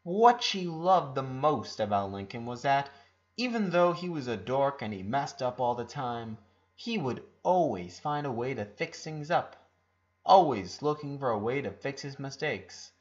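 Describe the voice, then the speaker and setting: A man's voice: medium pitch, big pitch swings, unhurried. Man narrating a story, dull lo-fi sound. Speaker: narrator reading fan fiction aloud. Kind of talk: scripted narration, audiobook-style story reading. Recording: dull lo-fi recording.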